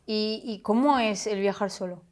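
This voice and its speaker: Curious woman asking questions. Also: mid-range voice. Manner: curious, encouraging, quick follow-up questions. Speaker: interviewer. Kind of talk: casual interview chat.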